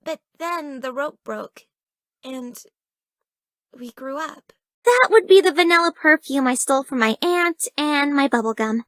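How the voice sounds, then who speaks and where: A very high, girlish voice in a close, dry recording, playing a shy and bookish young woman from a dating sim. She is earnest and easily flustered, with a hesitant, stammering delivery that keeps her sweetly awkward. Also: animated, a little slow, a bit bright, no room sound. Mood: wistful. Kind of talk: video game dating-sim voice acting.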